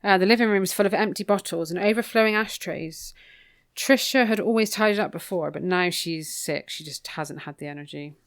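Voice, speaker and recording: A woman with a fairly low, clean and smooth voice co-hosts a book podcast. She chats casually through the plot with a dry, irreverent edge and swears freely. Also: fairly quick. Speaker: podcast co-host. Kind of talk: casual book recap chat.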